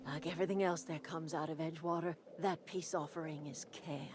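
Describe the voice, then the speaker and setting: Proud older matriarch for a game, played by an actress: low voice, folksy, unhurried, music underneath. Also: female voice, steady tone, lightly acted. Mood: bitter, defiant. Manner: folksy, unhurried, plain-spoken. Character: proud elderly woman, motherly yet defiant camp leader. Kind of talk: video game character dialogue. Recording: music behind the voice.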